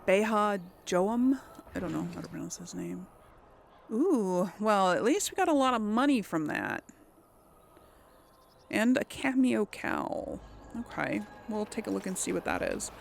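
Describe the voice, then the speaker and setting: A woman's voice: medium pitch, very animated, measured pace, vocal fry, a little hiss or hum. A woman doing casual let's play commentary, informally talking herself through each move as she plays. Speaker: gamer and let's play host. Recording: game music behind the voice. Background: video game music.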